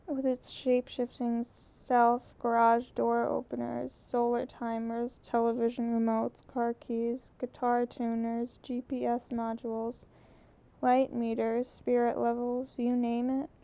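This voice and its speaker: A woman narrating a novel in a measured, even delivery with occasional slips. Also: on the high side, creaky. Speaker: audiobook narrator. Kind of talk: novel reading. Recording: muffled lo-fi recording.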